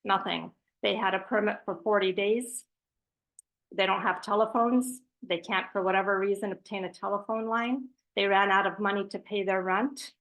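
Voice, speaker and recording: A woman's voice: mid-range voice, steady tone, normal speed, a bit bright, low-quality recording. A woman speaking as a charity representative on a panel, giving a prepared presentation with asides in an earnest, emphatic way.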